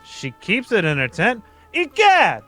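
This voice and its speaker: A man playing a pompous, excitable gentleman sidekick in an old-time radio detective play, with theatrical delivery. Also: sits high, a little slow, soft background music, voice pushed up, full character voice. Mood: astonished.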